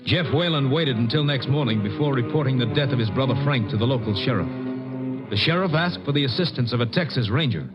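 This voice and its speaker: A man's voice: medium pitch, lively intonation, quick pace, over loud music, lightly acted. A man narrating a vintage radio drama as a scripted announcer. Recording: muffled vintage radio recording.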